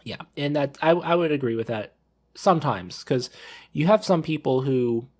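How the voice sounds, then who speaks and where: A man's voice: fairly high pitch, lively intonation, normal speed, darkish tone. A thirty-year-old man talking casually on a panel discussion, rambling as he repeats and rephrases himself. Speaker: podcast panelist.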